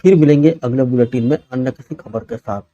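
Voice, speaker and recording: Man reading news, dark muffled voice. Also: mid-range voice, a little fast. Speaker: news presenter. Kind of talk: scripted news bulletin reading.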